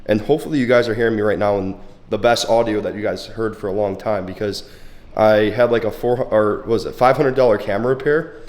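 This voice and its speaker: A young man in his twenties with a congested, slightly hoarse voice, chatting casually and profanely with lots of filler words in a fitness vlog. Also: fairly low pitch, fairly quick, darkish tone. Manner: laid-back, profane, full of "like" fillers. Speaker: fitness vlogger. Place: bedroom at home, then a gym.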